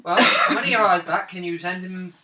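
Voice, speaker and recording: A man's voice: high-pitched, steady tone, fairly quick, bright, forceful tone. A man playing a tabletop role-playing game, talking casually in and out of character. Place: indoor room around a gaming table. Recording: echoey room, distant mic, faint noise.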